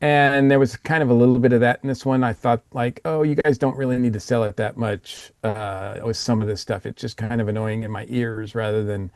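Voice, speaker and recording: A man's voice: mid-range voice, fairly even intonation, fairly quick, few pauses, no echo. Male podcast panelist, mid-range voice, casual opinionated reviewing, very dry recording.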